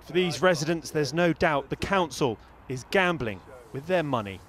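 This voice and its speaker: A man's voice: fairly high pitch, animated, moderate pace, fairly bright tone. A man with a British accent narrating a scripted news report as the reporter.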